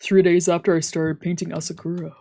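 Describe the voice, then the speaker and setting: A woman's voice: on the low side, level tone, fairly quick, creaky, dark tone. A woman streamer reading a visual novel aloud as dramatic narration. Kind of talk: dramatic narration of a let's play.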